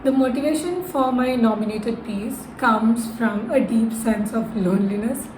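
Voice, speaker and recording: A woman's voice: on the high side, normal speed, music in the background. A young adult woman, a university student, giving a prepared introduction of herself. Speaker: university student, columnist nominee. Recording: echoey room with noticeable noise.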